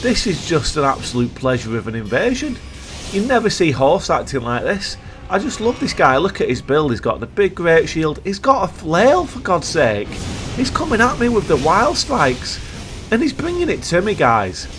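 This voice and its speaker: A man with a croaky voice from a cold, giving playful, jokey commentary over gameplay as a video host. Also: fairly high pitch, sing-song, normal speed, music in the background.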